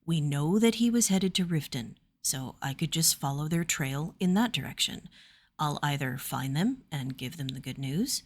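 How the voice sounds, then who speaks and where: A woman plays a young warrior man in a fantasy audio story. His voice is low and quiet, with a tired, steady kindness and an unhurried way of speaking. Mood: steady, persuasive.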